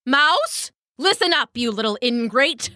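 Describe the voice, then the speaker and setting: A woman's voice: high-pitched, sing-song, slow, sharp tone, no room sound, clean studio sound, pitched above the performer's own voice, exaggerated voice. A woman voicing a pompous, bossy male dove in a children's story, affronted and emphatic.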